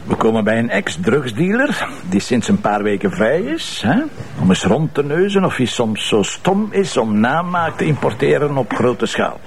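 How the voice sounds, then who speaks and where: Sardonic middle-aged Flemish commissioner: grumbling, creaky voice with big swings in intonation, faint noise behind. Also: male voice, medium pitch, very animated, normal speed. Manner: sarcastic, colloquial. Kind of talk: naturalistic TV crime drama acting.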